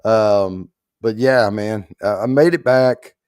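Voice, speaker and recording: A man with a rough, hoarse, worn-out voice, hosting a livestream in rambling, folksy casual chat while trying not to get loud. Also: lowish voice, slow pace, no room sound, clean studio sound. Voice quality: rough, strained voice. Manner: rambling, folksy, holding back volume. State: hoarse, worn out after allergy attack. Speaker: host of a whiskey giveaway livestream.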